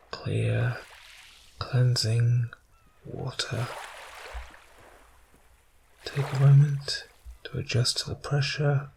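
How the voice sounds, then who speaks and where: A soft-spoken man narrating ASMR guided imagery in a soothing way, pausing between short phrases. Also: mid-range voice, vocal fry, a little hiss or hum.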